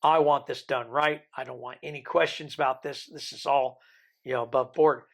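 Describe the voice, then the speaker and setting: A man in his sixties, from the Isle of Man, with a Birmingham accent softened by decades in America, telling his life story in an interview with rambling, joking asides. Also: mid-range voice, fairly even intonation, normal speed, vocal fry. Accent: American-tinged Birmingham English. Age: 60s. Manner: rambling, joking, self-deprecating. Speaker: interview guest.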